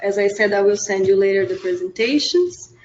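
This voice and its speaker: A woman moderating a webinar, briskly handing over to the next presenter. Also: medium pitch, expressive, darkish tone, slight background noise. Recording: roomy, dull webinar audio.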